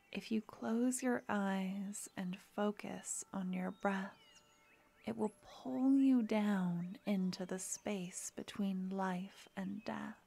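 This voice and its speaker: A woman voicing a gentle young necromancer girlfriend in an ASMR fantasy roleplay, speaking softly and often whispering. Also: mid-range voice, gravelly. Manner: soft and intimate, often whispering.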